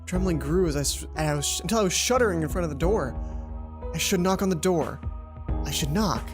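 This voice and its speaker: A let's play host reading a visual novel aloud in an expressive storytelling way, with asides. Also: mid-range voice, a little fast, over music.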